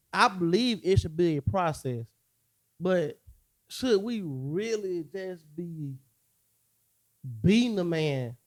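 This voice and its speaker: A man's voice: high voice, darkish tone. A man hosting a casual conversation among friends, asking pointed questions and repeating himself for emphasis. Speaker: podcast host. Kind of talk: casual chat.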